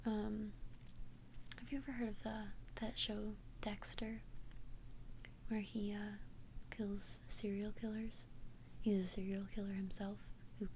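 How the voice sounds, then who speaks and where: Woman, breathy ASMR whisper, soft dark tone, unhurried, gentle and confiding vampire friend, faint ambient noise. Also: medium pitch, level tone. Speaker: ASMR roleplay performer. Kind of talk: whispered ASMR roleplay, one-sided conversation. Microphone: close ASMR microphone.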